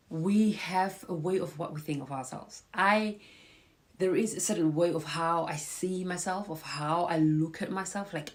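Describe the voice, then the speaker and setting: Low-voiced woman with a cold. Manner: chatty and encouraging, addresses viewers directly. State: has a cold. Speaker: host. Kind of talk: casual live-stream talk.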